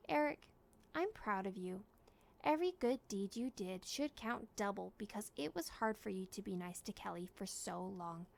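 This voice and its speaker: A woman voicing a gentle, caring storybook mother who speaks softly and reassuringly to her young son. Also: medium pitch, moderate pace, gravelly, lightly acted. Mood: tender, reassuring. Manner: soft, tender, reassuring.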